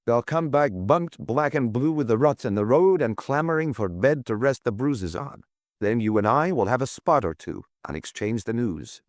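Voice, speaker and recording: A man's voice: medium pitch, animated, normal speed, dry recording, clean studio sound, natural voice. A man voicing a hearty, jovial old friend with a ribbing manner. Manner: chuckling, ribbing.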